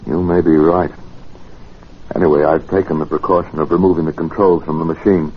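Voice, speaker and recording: An actor playing a bold, headstrong time-travelling explorer with a commanding, theatrical delivery in old radio drama style. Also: male voice, rumbling low, level tone, fairly quick, breathy edge. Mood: coolly analytical. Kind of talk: 1940s-50s radio acting. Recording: dull, faintly noisy old radio recording.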